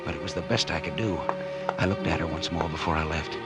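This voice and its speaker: An actor playing a scheming, guilt-ridden husband turned murderer in a 1940s American radio suspense drama, with tense hard-boiled confessional delivery. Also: male voice, sits low, steady tone, quick pace, close to an everyday voice. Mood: grim, tense. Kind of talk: 1940s radio suspense acting with first-person narration. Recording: vintage 1940s radio drama recording, music behind.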